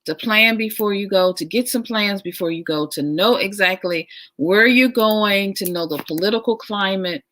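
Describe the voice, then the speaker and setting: A woman hosting a casual livestream, talking warmly and enthusiastically, repeating her phrases and making chatty asides. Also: mid-range voice, moderate pace, few pauses. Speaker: livestream host.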